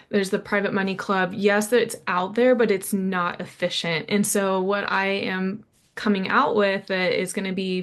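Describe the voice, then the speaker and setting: A young woman joins a video call as a guest in a casual chat. She talks eagerly and leans on fillers. Her voice sits at an ordinary height. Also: mid-range voice. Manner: eager, filler-heavy, lots of "like". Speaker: guest pitching an app.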